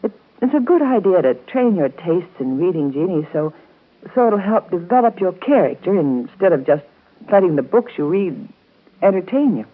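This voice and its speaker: An actress in a 1930s radio soap opera playing a patient, motherly guardian, gentle, earnest and coaxing. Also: fairly low pitch, very animated, normal speed, narrow, tinny audio. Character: warm, patient motherly guardian woman of twins. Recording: band-limited scratchy 1930s radio broadcast.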